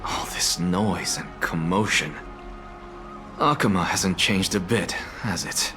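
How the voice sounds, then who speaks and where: Casting: aloof, sardonic professor for a fantasy game, low voice, pompous and measured. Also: male voice, music in the background. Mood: haughty. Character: proud male scholar professor, aloof, sardonic, defiant. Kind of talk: dramatic video game acting.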